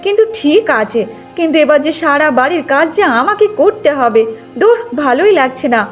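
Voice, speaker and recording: Woman playing a grumbling, high-voiced young daughter-in-law. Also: lively intonation, moderate pace, faint music behind. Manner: grumbling, sharp-tongued. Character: resentful, lazy, two-faced young daughter-in-law. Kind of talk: cartoon moral tale acting.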